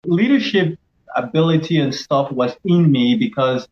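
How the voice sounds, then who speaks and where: A man's voice: fairly high pitch, lively intonation, moderate pace, a little mellow. Man from Cameroon, middle-aged, earnest interview guest; dull audio. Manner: reflective, earnest, with many restarts and fillers. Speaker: pharmacist and leadership coach, guest. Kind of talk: casual interview answers.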